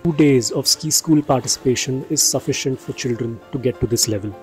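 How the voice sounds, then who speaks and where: A man narrates a ski trip guide in a steady, informative voice-over. His pitch sits in the middle range. Light music plays beneath him. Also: fairly quick. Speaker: travel vlogger narrating.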